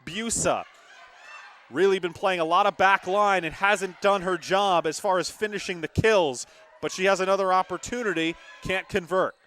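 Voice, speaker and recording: Young male play-by-play sportscaster, high register, dramatic delivery for live volleyball from a gym. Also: normal speed, a bit bright, slight background noise. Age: young adult. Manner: emphatic, dramatic calls. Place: courtside in a gymnasium.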